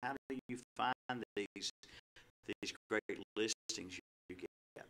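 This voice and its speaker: A man's voice: lowish voice, slow pace, bright, forceful tone, low-quality recording. A middle-aged man hosting a local community talk show, asking a guest questions in a hesitant manner full of restarts. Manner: gravelly, hesitant, stumbling restarts. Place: small office meeting room, indoors. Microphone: table microphone, far camera.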